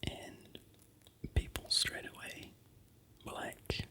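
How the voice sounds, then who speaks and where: A vlogger from New Zealand with a New Zealand accent, softly narrating a travel slideshow in a steady, unhurried whisper. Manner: soft, unhurried whisper throughout.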